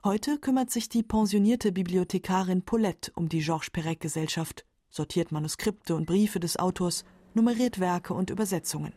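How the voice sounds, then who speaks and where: A woman's voice: lowish voice, moderate pace, hardly any pauses, dark tone, no echo. A woman with pronunciation reading scripted narration for a literary radio documentary.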